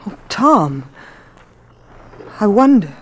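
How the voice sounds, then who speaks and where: An actress playing a composed, skeptical mother in a 1950s radio drama, gentle but firm and polite. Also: mid-range voice, big pitch swings, extremely slow, very noisy. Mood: uneasy.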